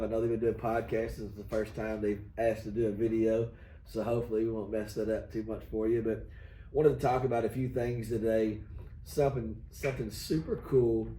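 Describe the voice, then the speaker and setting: A hoarse middle-aged man hosting a union video chat, folksy and rambling with many fillers. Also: fairly low pitch, steady tone, moderate pace, warm tone. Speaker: union local president, host. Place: union hall. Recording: echoey room, faint noise.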